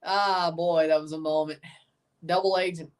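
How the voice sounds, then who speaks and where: A woman's voice: sits low, fairly even intonation, normal speed, clear, resonant tone. A woman hosting a livestream watchalong, giving casual live football commentary and chatting with viewers, often repeating and correcting herself.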